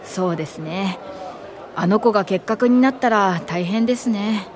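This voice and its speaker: An actress playing a polite, devoted young female assistant in a radio drama, speaking sincerely and with measured care. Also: medium pitch, a faint crowd, close to an everyday voice. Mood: concerned. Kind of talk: radio drama acting with reflective narration. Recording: studio radio drama over clear music.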